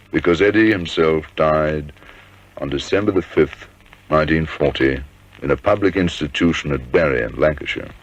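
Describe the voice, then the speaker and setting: A calm detective speaks in an extremely deep, measured voice. It is radio drama, with the muffled sound of a vintage recording. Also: male voice, exaggerated voice. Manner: measured, steadying. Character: calm male police detective recalling a case. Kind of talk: radio drama dialogue and narration.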